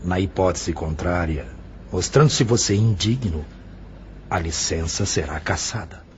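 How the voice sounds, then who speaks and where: An actor with a Brazilian accent playing a grave, authoritative spirit guide in an audio drama, speaking in a formal, measured, stern way. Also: male voice, sits low, highs cut off. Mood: solemn, stern.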